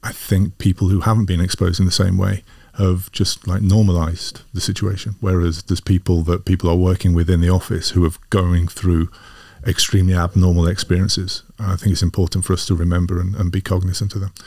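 Deep-voiced thoughtful male host. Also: level tone, fairly quick, few pauses, darkish tone, no echo, studio quality. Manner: thoughtful, probing questions. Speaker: podcast host and MBA teacher. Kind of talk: interview conversation.

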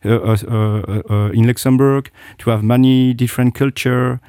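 A man's voice: mid-range voice, fairly even intonation, normal speed, warm tone, clear, resonant tone, no room sound, clean studio sound. A middle-aged man, a guest telling his personal story with many hesitations and restarts. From South Korea. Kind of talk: interview, personal account.

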